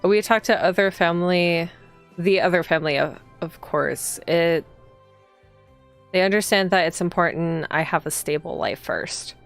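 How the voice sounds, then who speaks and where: A woman livestreaming a visual novel, reading the game text aloud and chatting casually as she thinks out loud and reacts to the story. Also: fairly low pitch, normal speed. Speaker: streamer playing a visual novel. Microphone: streaming microphone. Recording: background music behind the voice. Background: game music.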